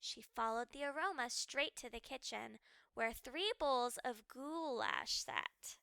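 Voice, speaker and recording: A woman storyteller reading a children's book aloud with expressive, dramatic and deliberately phrased narration. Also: fairly high pitch, gravelly, clear, resonant tone, no echo.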